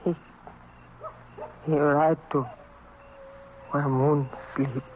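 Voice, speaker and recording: Arapaho woman, halting broken English, slow delivery, muffled vintage radio drama, faint hiss. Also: low-pitched, flat delivery. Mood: sad, resigned. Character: Arapaho woman, fearful, loyal to her runaway man. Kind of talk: old-time radio western acting.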